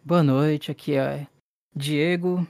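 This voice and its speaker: A man's voice: a little high, steady tone, takes it slowly, creaky, darkish tone, dry recording. A man with a Brazilian accent chatting casually as a player and co-host on a role-playing podcast.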